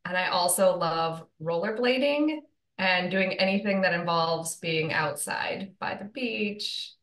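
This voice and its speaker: Bright-voiced woman in an echoey room. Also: on the low side, a little slow. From Michigan, USA. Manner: cheerful, clear explaining. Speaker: registered dietitian, guest. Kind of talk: casual interview chat.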